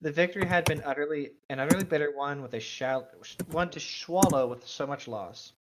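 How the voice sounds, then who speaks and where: A man's voice: fairly high pitch, brisk, very noisy. A man co-hosting a fan fiction read-aloud, moving between casual, mildly sweary chat and plain reading. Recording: dull lo-fi voice recording.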